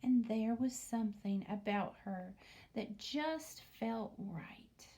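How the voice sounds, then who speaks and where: A woman with a fairly high, mellow voice reads a picture book to young students. She takes her time, speaking as a teacher who adds little asides and questions, often in a hushed tone. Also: animated, slow. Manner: often drops into a hushed near-whisper; asks the listening children questions. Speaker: teacher reading aloud to students. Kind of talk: picture-book read-aloud with commentary for young children.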